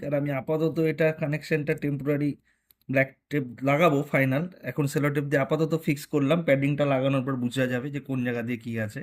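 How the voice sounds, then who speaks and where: A man narrating a hands-on motorcycle vlog tutorial casually, talking viewers through each step in an informal way. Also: fairly high pitch. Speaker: motorcycle vlogger.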